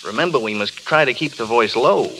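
A hard-drinking matinee idol from a 1930s radio play. He is flippant and theatrical, swinging widely in pitch with wry charm. A clear hiss and crackle of old broadcast sits over the voice. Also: animated, fairly quick, a bit bright. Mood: teasing, flippant. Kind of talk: 1930s radio drama acting.

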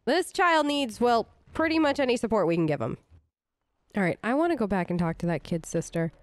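A woman hosts a role-play game playthrough in a fairly high voice. She chats casually in character and thinks aloud, often reassuring. The sound is close and very dry. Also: animated, brisk, no echo. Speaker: gaming host, role-play let's player. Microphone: close microphone, dry voice-over.

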